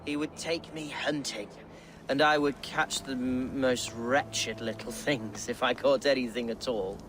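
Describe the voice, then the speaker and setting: A man's voice: mid-range voice, steady tone, unhurried, noisy background, natural voice. An actor playing an earnest, tipsy young Saxon nobleman, eager and wordy in a dramatic video game style. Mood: wistful, fond. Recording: music behind the voice.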